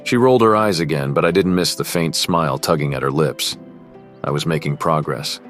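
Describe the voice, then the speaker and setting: Guy voicing cocky rich teen boy in a romance story, really deep voice, guarded and cool, bit of music behind. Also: brisk, a bit bright. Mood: teasing, confident. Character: cocky rich high school heartthrob, quietly smitten. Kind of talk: first-person narration and dialogue in a teen romance.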